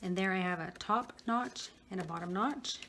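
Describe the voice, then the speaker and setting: A slightly hoarse woman casually demonstrating a paper-craft tool while she works at her table. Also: mid-range voice, moderate pace, sharp tone, a little hiss or hum. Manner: chatty, talks while working, frequent restarts. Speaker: craft tutorial host. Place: craft room at a work table.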